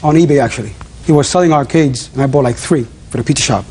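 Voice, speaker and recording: Fast-talking New man, dark and husky voice, curt and jittery. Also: mid-range voice. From New York. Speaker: defendant, pizza shop owner. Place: TV courtroom.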